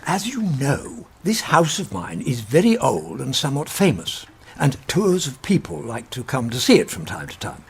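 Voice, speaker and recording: An actor playing a kindly, logical old professor, speaking deliberately and formally in a radio drama. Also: male voice, mid-range voice, huge pitch swings, husky, exaggerated voice. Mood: polite, courteous. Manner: deliberate, formal, pedantic, gently exasperated.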